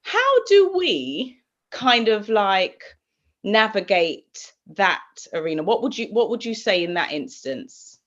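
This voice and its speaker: A woman's voice: mid-range voice, very animated, takes it slowly, dull audio. Thoughtful woman asking on a video call. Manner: thoughtful, with "kind of" hedging. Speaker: panel participant. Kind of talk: panel discussion.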